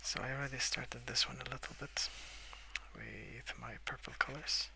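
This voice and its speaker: A young adult man softly rambling in a breathy half-whisper for ASMR, relaxed and unhurried, close to the microphone. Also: mid-range voice, low-quality recording. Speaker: ASMR video creator. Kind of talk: soft-spoken ASMR rambling while colouring.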